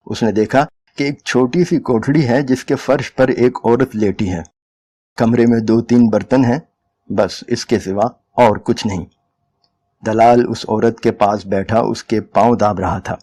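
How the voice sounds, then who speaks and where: Guy reading a story, slow and mellow, dramatic storyteller style. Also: mid-range voice. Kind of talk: dramatic scripted story narration.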